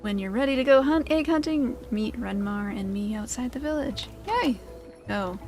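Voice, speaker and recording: A woman's voice: fairly high pitch, animated, normal speed, darkish tone, soft background music. A woman giving casual, chatty gameplay commentary as the host of a gaming video. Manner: chatty, informal.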